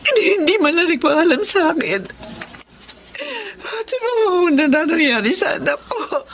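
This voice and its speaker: Anxious high-voiced mother. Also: very animated, a little slow, background noise, heavily acted. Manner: emotional, fretful. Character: anxious, long-suffering loving mother. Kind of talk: Filipino radio drama acting.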